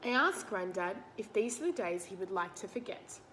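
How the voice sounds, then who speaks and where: A woman's voice: medium pitch, lively intonation, moderate pace, sharp tone, a little hiss or hum. A woman reading a picture book aloud to children, explaining it simply and putting questions to the listener. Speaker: read-aloud teacher.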